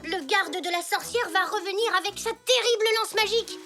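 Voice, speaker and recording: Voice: very high-pitched, fairly quick, sharp tone, exaggerated voice. A performer voicing an eager, playful little zebra child in a French children's cartoon. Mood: urgent make-believe. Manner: eager, exclamatory. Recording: music behind the voice.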